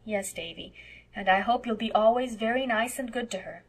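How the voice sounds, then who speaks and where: A woman voicing a gentle, earnest young woman with a proper, soft-spoken manner in an audiobook. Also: a little high, normal speed, husky. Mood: soothing. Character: gentle, earnest, romantic young woman, fond elder guardian. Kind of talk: audiobook character voice.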